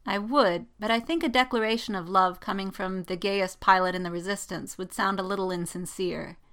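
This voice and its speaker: A woman's voice: medium pitch, brisk, a bit bright, lightly acted. A woman reading the part of a teasing, gleeful male pilot in a story, sardonic and needling. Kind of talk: dramatic fan fiction reading.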